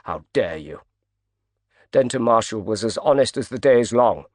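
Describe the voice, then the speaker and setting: A man's voice: fairly low pitch, lively intonation, moderate pace, no echo, clean studio sound. A man voicing a heavy, proud older male financier whose speech moves between choked grief and indignation. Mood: indignant.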